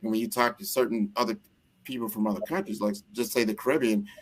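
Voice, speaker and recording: A man from the United States with a fairly low voice, speaking conversationally as the host of an interview. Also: steady tone, quick pace, rough recording. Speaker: show host.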